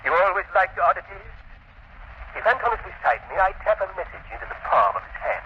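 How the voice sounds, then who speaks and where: An actor playing a mad, vengeful old professor in 1930s radio drama style, grandiose, menacing and coolly condescending. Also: male voice, sits high, sing-song, fairly quick. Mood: boastful. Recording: noisy, muffled 1930s radio recording.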